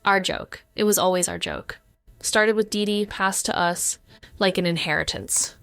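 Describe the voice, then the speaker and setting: A woman's voice: medium pitch, normal speed, vocal fry, fairly bright tone, clean tone. An actress playing a wounded, avoidant woman, narrating a noir audio drama in an introspective, brooding way. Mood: pained, haunted. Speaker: narrating character.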